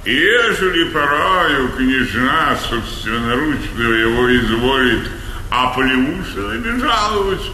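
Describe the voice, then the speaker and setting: An actor playing a self-important, insolent household servant in a period stage comedy, speaking in ornate, pompous phrases. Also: male voice, medium pitch, sing-song, slow pace, sharp tone, heavily acted. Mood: pompous, defiant. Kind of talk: theatrical stage comedy acting. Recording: echoey theatre stage, faint noise.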